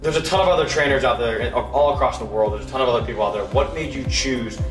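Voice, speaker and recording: A man's voice: mid-range voice, fast, distant mic, soft background music. Chicago-accent male interviewer, off-camera and off-mic in an echoey room, direct promo-style questions. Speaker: trainer and interviewer. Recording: roomy, off-mic voice.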